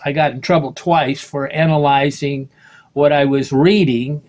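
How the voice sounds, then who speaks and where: A man in his late sixties, from the United States, talks in a loose, rambling monologue. His voice sits a little higher than most men's, and he keeps circling back to reword his thoughts, dropping in "okay?" as he goes. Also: fairly even intonation, measured pace, darkish tone. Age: 60s. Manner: rambling, restarts sentences, keeps asking "okay?". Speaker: video blogger.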